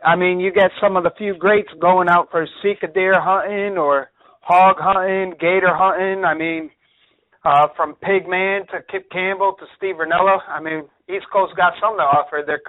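A man's voice: high-pitched, steady tone, moderate pace, dry recording. A man calling into a hunting radio show, chatting casually and laughing often. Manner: laid-back, laughs often, says "man" a lot. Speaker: caller. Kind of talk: casual call-in chat. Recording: tinny phone line, dry.

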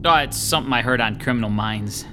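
A man's voice: mid-range voice, fast talker, music in the background, lightly acted. A man playing a chatty, joking handyman with a morbid streak in an audio drama. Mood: breezy, sly. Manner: casual, joking, chuckling.

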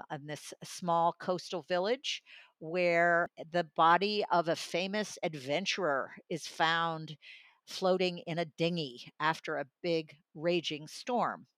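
A woman reviews audiobooks in relaxed conversation. Her voice sits somewhat low and she takes her time, speaking slowly. The sound is close and dry. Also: no echo. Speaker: audiobook reviewer and regular guest. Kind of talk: conversational book discussion.

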